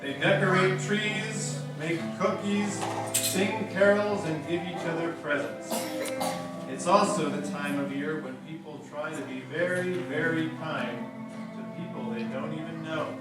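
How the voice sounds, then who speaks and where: Man as jolly old gift-giver, gentle, fairly high male voice, puppet show, very echoey. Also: a little slow, natural voice. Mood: reassuring, kindly. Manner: gentle, reassuring, explaining. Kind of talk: children's puppet show acting. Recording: echoey, distant, music behind.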